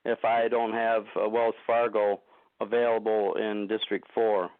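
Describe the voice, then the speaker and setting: A man's voice: lowish voice, flat delivery, unhurried, no echo. A man calling in with questions, speaking casually, politely and a little hesitantly. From United States, living in Ohio. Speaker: first-time caller. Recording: narrow dry phone line.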